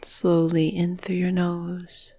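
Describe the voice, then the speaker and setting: A woman guiding a meditation in a soothing, breathy manner, with phrases often trailing off into a whisper. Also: fairly low pitch, monotone, slow pace, mellow. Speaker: meditation guide. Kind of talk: guided meditation narration.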